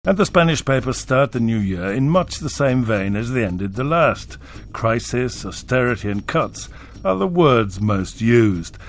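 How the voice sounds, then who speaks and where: A man's voice: mid-range voice, animated, unhurried. A man reading scripted news and press summaries as a radio newsreader.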